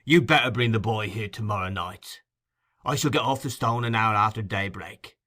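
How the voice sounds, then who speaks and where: A man voicing a brutish robber in an audiobook, gruff, surly and threatening. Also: on the low side, moderate pace, pushed and bright. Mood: curt, impatient.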